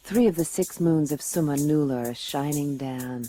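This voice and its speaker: An actress playing a cool intergalactic detective, narrating her case in deliberate, atmospheric radio drama style over music. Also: deep voice, warm tone, lightly acted. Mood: brooding. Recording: music bed behind the voice.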